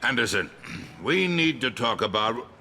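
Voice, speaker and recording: An actor playing a sour, officious political advisor in a video game, pointed and disapproving. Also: male voice, mid-range voice, sing-song, measured pace, sharp tone, slight background noise, full character voice. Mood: irritated. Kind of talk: video game dialogue acting.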